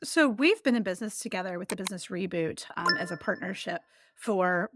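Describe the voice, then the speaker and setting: A woman's voice: mid-range voice, expressive, a little fast, heavy background noise. A woman in her forties, a business coach guesting on a podcast, telling stories in a chatty way with frequent laughs. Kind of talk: casual interview chat.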